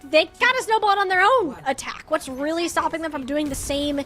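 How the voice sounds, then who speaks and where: A woman's voice: high voice, brisk, bright, forceful tone, soft background music. A woman giving live esports commentary and analysis in a conversational, analytical way.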